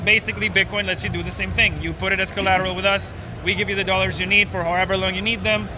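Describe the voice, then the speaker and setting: High-voiced man from Venezuela explaining. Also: little pitch movement, very fast, over music. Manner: fluent, explanatory, many fillers. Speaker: startup co-founder. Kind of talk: casual interview. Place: outdoors at a busy conference.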